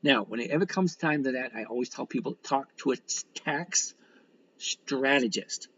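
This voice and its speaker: A man's voice: mid-range voice, normal speed, fairly bright tone, highs cut off, slight background noise. A man of sixty chatting casually as a livestream host, reading out and answering comments in a blunt, folksy, rambling way with some mild swearing. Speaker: livestream host and stock trader.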